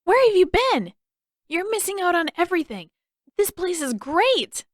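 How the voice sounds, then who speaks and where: A woman voicing an excitable, boastful young teenage boy in an audiobook, exuberant and eager. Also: extremely high pitch, normal speed, dry recording, studio quality, voice pushed up. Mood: excited, loud. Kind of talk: audiobook character voice.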